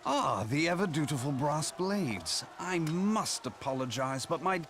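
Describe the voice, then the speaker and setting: An actor playing a suave, sardonic, defiant rogue in a fantasy video game. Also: male voice, fairly high pitch, animated, unhurried, a bit bright, slight background noise. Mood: wry, defiant. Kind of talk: dramatic video game acting.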